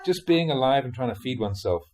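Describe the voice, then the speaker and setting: A man reads a Buddhist teaching story aloud as a storyteller would. His mid-pitched voice moves around a lot, giving the narration a lively, animated delivery. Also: fast talker, a little hiss or hum. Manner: animated storyteller, acts out dialogue, often chuckles. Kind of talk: audiobook reading of dharma talk storytelling.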